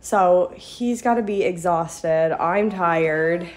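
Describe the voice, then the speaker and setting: A woman's voice: on the low side, slow. A young American woman chatting casually as a vlogging mother, recorded on a phone at arm's length at home. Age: young adult. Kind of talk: casual vlog chat. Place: indoors at home, living room and kitchen. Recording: clear household background noise.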